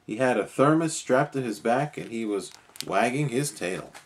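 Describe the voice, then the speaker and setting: A man's voice: medium pitch, animated, moderate pace. A man narrating a children's story expressively as a storyteller.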